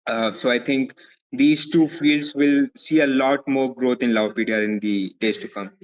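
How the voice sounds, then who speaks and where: A young man from India with an Indian accent, answering interview questions as a legal advisor in a measured way, often repeating words as he thinks. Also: medium pitch, fairly even intonation, poor audio. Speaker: foreign legal advisor, guest.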